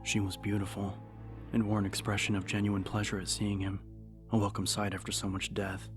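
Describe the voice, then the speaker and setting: A man with a deep voice narrates dramatic fiction. His delivery is measured and suspenseful, staying fairly flat in pitch. Faint music runs beneath the audiobook.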